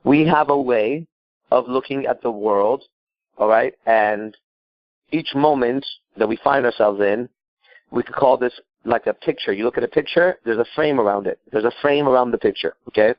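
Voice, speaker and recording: A man's voice: medium pitch, normal speed, no echo, highs cut off. A man from Iran giving improvised advice as a call-in show host, emphatic and blunt, repeating himself and asking rhetorical questions. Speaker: podcast host and coach.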